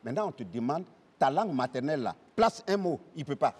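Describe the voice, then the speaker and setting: A man's voice: fairly high pitch, big pitch swings, fast talker. A man with a West African French accent, a comedian playing an indignant parliamentarian who mocks the young with emphatic, repeated exclamations in stage banter. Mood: scornful. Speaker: comedian on a satirical parliament show. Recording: echoey hall, audience noise behind.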